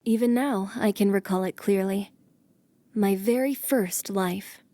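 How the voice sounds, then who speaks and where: A woman's voice: mid-range voice, measured pace, warm tone, no room sound, clean studio sound, close to an everyday voice. A woman voicing a young, earnest noblewoman in a dramatic anime fan dub. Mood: reflective.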